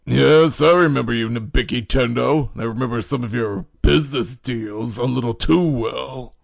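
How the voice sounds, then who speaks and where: Stern male teacher, acted by a man, creaky mid-pitched voice, sardonic and formal, anime audio play, dry sound. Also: moderate pace, dry recording. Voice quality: gravelly. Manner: formal, cutting. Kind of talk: amateur anime audio drama acting.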